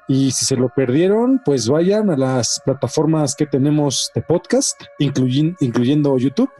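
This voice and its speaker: Male podcast host, Mexican accent, mellow timbre, casual emphatic storyteller, light music bed. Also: medium pitch, expressive, unhurried. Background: faint music.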